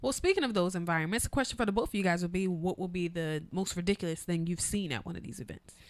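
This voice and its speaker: A woman's voice: on the low side, quick pace. A woman hosting a casual podcast, asking questions and teasing her guests in a wry, incredulous way. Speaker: podcast host. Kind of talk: casual joking interview chat.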